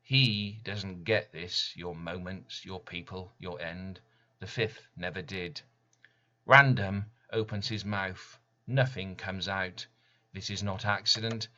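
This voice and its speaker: A man reading his poem as dramatic spoken word, deliberate and intense, with weighty pauses. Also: low voice, fairly even intonation, sharp tone, lo-fi sound. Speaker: poet reading own work.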